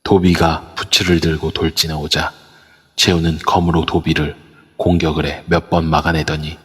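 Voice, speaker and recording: A man narrating an amateur audio drama in a steady storytelling manner. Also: extremely low pitch, normal speed, slightly rough. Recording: long reverb over a voice app.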